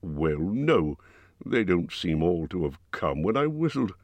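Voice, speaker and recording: Man playing an old wizard, low and calm, slyly tactful, dry close sound. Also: unhurried, dry recording, heavily acted.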